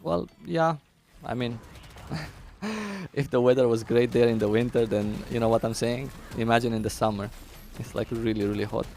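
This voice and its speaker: A man's voice: mid-range voice, normal speed, darkish tone, a little hiss or hum. A young man with a Romanian accent chatting casually with viewers on a live game stream, thinking aloud as he answers chat.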